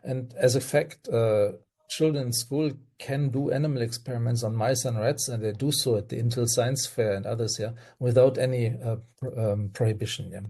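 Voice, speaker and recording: A man's voice: mid-range voice, steady tone, moderate pace, dark tone. An older man with a German accent, a guest on a video-call webinar, speaking earnestly with hesitant fillers and restarts. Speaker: guest toxicologist.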